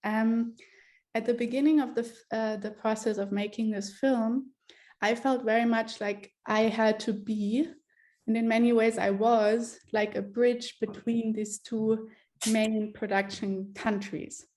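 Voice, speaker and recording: A woman's voice: fairly high pitch, little pitch movement, a little slow, a little mellow. Reflective woman filmmaker on a video call. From born in Germany, grew up in Ethiopia. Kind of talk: online panel talk.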